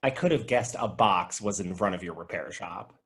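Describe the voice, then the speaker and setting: A man's voice: low-pitched, moderate pace, fairly bright tone. Goofy, chatty male video store clerk, acted. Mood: low, serious. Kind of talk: table read of a comedy TV pilot.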